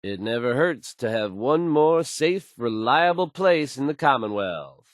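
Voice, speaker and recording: A man's voice: medium pitch, lively intonation, unhurried, no room sound, studio quality, natural voice. A man playing a self-assured radio newscaster in a video game, fluent and folksy as he announces the news. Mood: upbeat, assured. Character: confident, smooth, assured male radio newscaster.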